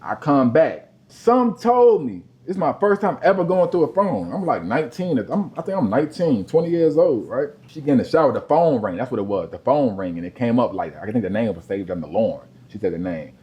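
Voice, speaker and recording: A man, co-hosting a podcast. He tells profane stories, dropping to a low mutter and then breaking into loud outbursts. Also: sing-song, a little fast, few pauses. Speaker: podcast co-host. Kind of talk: casual chat, storytelling.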